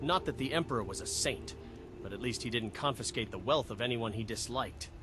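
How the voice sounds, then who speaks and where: Guy doing a wood elf buddy from a fantasy game, friendly n wistful, measured storyteller, music playing behind. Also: medium pitch, natural voice. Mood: reminiscing. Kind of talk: fantasy game character dialogue.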